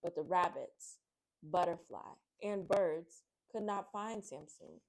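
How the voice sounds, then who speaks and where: A woman hosting a children's read-aloud, speaking in an expressive, excitable, friendly way to young viewers. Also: on the low side, slow pace. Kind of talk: storybook narration and friendly chat to young viewers.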